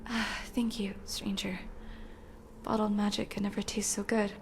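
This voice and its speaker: A young woman playing an earnest, sweet runaway princess in a video game, with a soft, sometimes breathy delivery. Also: mid-range voice, flat delivery, a little slow, slight background noise, close to an everyday voice. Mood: grateful, weary. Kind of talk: video game character dialogue.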